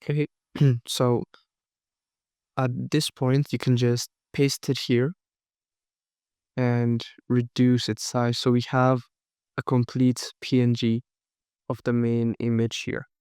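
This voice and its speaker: A man with a cold walking through a design tutorial, unhurried and thinking aloud, his voice sometimes cracking. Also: mid-range voice, level tone, a little mellow, clear, resonant tone, no room sound, clean studio sound. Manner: unhurried, thinking aloud with frequent fillers and restarts. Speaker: design course instructor. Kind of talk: screen-recorded software tutorial.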